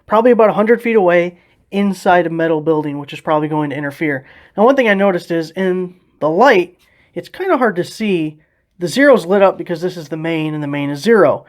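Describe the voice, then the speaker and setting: A man's voice: sits high, lively intonation, brisk. A man giving a casual, informal hands-on product test narration, with a self-deprecating manner. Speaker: product reviewer. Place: indoors around a house, behind the camera.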